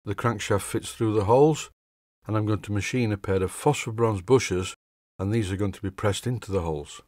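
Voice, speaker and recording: An older man with a Yorkshire accent, reading a measured workshop voice-over with dry humour. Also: on the low side, a little mellow, dry recording. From Yorkshire, England. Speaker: hobby machinist presenter.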